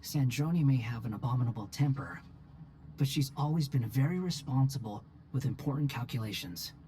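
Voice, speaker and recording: A man's voice: medium pitch, moderate pace, dark tone, slightly rough, lightly acted. An actor playing a curt, aloof, cool-headed young male wanderer in a video game, speaking in a dry, matter-of-fact way. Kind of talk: video game character dialogue.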